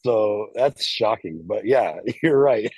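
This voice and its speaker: A man's voice: lowish voice, expressive, takes it slowly, darkish tone, husky, no echo. A man from California, a documentary filmmaker guesting on an interview show, talking in an easygoing way and laughing often. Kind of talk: casual interview chat.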